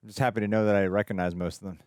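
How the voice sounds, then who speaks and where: A man's voice: on the low side, fairly even intonation, racing, darkish tone, no echo, clean studio sound. A man co-hosting a reaction show, joking and exclaiming as he reacts to what he sees. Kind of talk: casual reaction chat.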